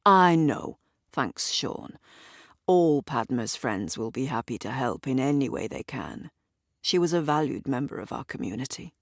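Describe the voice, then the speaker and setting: A woman voicing an earnest, courteous man grieving a friend, measured, in an audio drama. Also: rumbling low, big pitch swings, no echo, studio quality, heavily acted. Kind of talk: audio drama character dialogue.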